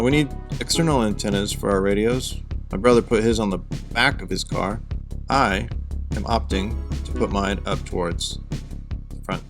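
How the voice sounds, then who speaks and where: A man in his forties narrating a casual DIY car vlog in a wry, jokey way. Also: on the low side. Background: background music.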